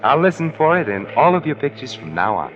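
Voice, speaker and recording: Casting: an urbane, wry gentleman sleuth, bright lively delivery, old-time radio crime drama. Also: mid-range voice, fast. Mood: suave, teasing. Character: suave, witty gentleman crime-solving adventurer. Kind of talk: 1940s radio drama acting. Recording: dull 1940s radio recording, faint music.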